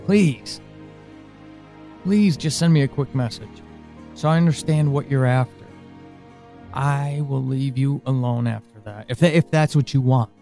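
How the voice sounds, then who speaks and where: A man playing an anxious, pleading character in an audio drama monologue, speaking earnestly and haltingly. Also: medium pitch, vocal fry, mellow, soft background music. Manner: halting, earnest, with pauses and emphatic swings. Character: anxious, pleading man reaching out to a lost loved one.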